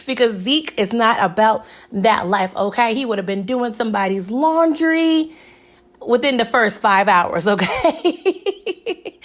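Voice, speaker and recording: Young woman, raspy hoarse voice, casual recap chatter, fairly high pitch, keeps saying "okay?". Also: a bit bright. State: hoarse from recent illness. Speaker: TV recap vlogger.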